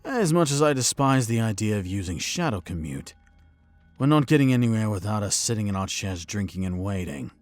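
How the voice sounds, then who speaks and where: An actor playing a knight commander who explains himself in a crisp, officer-like way. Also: male voice, fairly low pitch, expressive, a little fast, darkish tone, no echo, soft background music, natural voice. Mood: composed. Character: composed male knight commander, always one step ahead.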